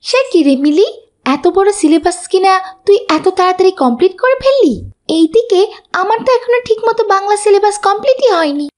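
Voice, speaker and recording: A woman's voice: very high voice, expressive, fairly quick, a little mellow. A woman voicing a studious, dutiful little schoolgirl in a children's cartoon, earnest and pleading. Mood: surprised. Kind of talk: scripted cartoon dubbing.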